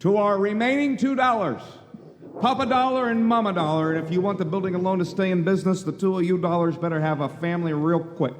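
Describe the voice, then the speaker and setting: A man acting a flustered, earnest young small-town everyman in a live radio drama. His voice sits fairly high and he stammers, doubling back on his words as he pleads. It rings through a reverberant hall. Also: steady tone, fast talker, a little hiss or hum, close to an everyday voice. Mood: wry, giddy. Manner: stammering, repeating words, folksy.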